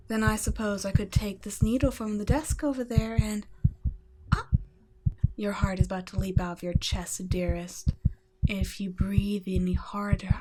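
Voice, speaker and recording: Woman doing a cold vampire scientist lady, soft whispery taunts, talks down to you, faint hiss behind, mid pitch. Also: close to an everyday voice. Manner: soft, often whispered, mocking and condescending. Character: cold, teasing female vampire scientist captor. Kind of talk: ASMR roleplay audio drama.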